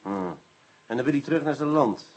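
An actor playing a brisk, wry police inspector in a radio play, businesslike and quick to interject. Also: male voice, mid-range voice, lively intonation, a little mellow, slightly rough, lo-fi sound. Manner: businesslike, repeats himself, interjects.

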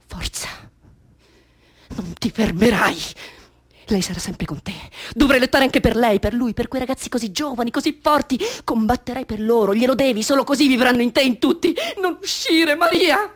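A woman's voice: on the high side, lightly acted. An actress playing an anguished, bitterly self-scorning woman in a theatrical radio drama, intense and repetitive, dropping into murmurs. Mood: urgent, resolute.

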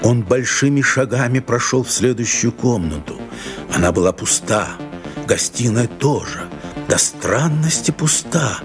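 A man's voice: medium pitch, lively intonation, unhurried. A man reading scripted narration as the storyteller of an audio drama. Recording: music under the narration.

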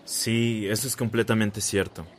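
An assured town boss played by a male actor with a lowish voice. He is an affable figure of authority in a radio play, with faint noise behind. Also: level tone, a little slow, lightly acted. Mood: welcoming. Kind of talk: Spanish-language radio drama acting.

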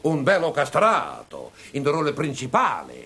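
A man's voice: on the high side, wildly swooping pitch, moderate pace, pushed and bright, breathy edge, exaggerated voice. An actor in a radio play voicing a flamboyant, teasing Italian libertine poet with an Italian accent, theatrically mixing Italian exclamations into his talk. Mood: gleeful mockery. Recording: noisy archived radio play recording.

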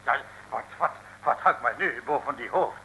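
An actor playing a flustered, servile communist agent who stammers and pleads in an old Dutch comic radio serial. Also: male voice, mid-range voice, lively intonation, moderate pace, gravelly, pushed and bright, slight background noise, low-quality recording. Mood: flustered, pleading. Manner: stammering, repeating words, pleading. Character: flustered, servile male communist agent, eager to explain himself.

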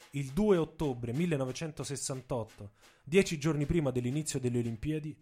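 A man's voice: fairly high pitch, a little mellow. A man narrating radio theatre as a dramatic scripted story, with a theatrical, intense delivery.

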